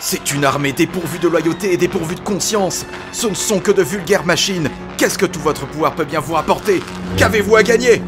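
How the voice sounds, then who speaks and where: Man acting as defiant Jedi, high male pitch, eloquent, disdainful, animated space saga, music behind. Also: brisk, close to an everyday voice. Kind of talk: French animated sci-fi fandub.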